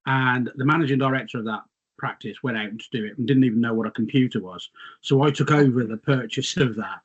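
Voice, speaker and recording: A man's voice: mid-range voice, fast, breathy edge, highs cut off. Middle-aged man telling rambling stories. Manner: rambling, self-mocking storyteller. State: slightly losing his voice. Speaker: guest. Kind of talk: casual reminiscing chat.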